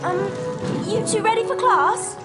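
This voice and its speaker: A woman's voice: very high voice, measured pace. A young woman playing an earnest, studious schoolgirl witch in a video game. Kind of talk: video game character acting. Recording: loud music behind the voice.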